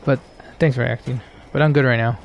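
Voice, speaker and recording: A man giving low-key, casual live commentary as he streams a game, musing to himself. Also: steady tone, a little fast, gravelly, highs cut off, a little hiss or hum.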